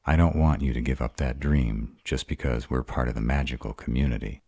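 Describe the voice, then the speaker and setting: A man voicing a bashful, awkward male were-dragon in love, halting and earnest, in a romantic roleplay audio. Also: extremely low pitch, steady tone, creaky, darkish tone, husky, dry recording, heavily acted. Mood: nervous, earnest.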